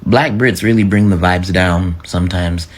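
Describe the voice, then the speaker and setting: Very deep, husky British man. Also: moderate pace, faint music behind. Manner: chatty, lots of "like". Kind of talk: casual reaction video.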